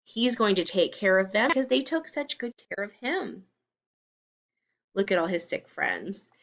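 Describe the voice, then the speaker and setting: Slow, very expressive woman reading to children. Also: mid-range voice. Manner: expressive, addresses young children directly. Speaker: teacher reading aloud to children. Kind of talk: children's story read-aloud with simple explanations.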